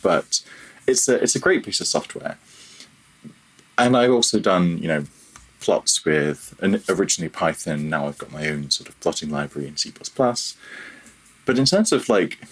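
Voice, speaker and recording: A man with a deep, somewhat husky voice speaks as an interview guest. He explains things informally, wandering from one thought to the next in a casual conversational style. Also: fairly bright tone. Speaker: podcast guest, audio programmer.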